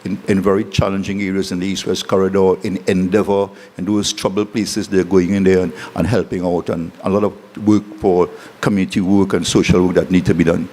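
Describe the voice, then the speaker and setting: A man with a Trinidadian accent giving a formal, somewhat halting welcome speech as a city official. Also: deep voice, level tone, darkish tone. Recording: echoey reverberant hall, clearly noisy background.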